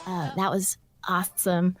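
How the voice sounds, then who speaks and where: A woman voice actor chatting casually in an interview, animated and self-deprecating. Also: fairly low pitch, a little slow, music in the background. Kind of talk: casual chat.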